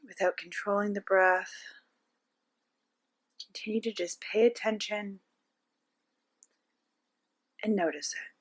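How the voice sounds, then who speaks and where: Female meditation teacher, medium-pitched voice, gentle instructional delivery, pauses between short phrases. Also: fairly even intonation. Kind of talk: guided meditation narration.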